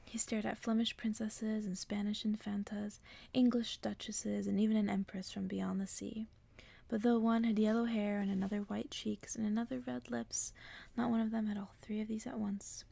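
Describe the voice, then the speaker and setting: A woman speaking in a soft, hushed whisper in the style of an ASMR bedtime reading. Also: medium pitch, level tone, normal speed, gravelly. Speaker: ASMR creator reading stories to listeners.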